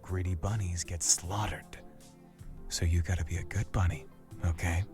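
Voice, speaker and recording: A man's voice: low voice, steady tone, normal speed, lightly acted. A man voicing a possessive, flirtatious love interest in a visual novel, speaking intimately with a veiled menace. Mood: menacing, teasing. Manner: seductive, close to the ear, veiled threats. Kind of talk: intimate game voice acting. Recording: game dialogue over loud background music.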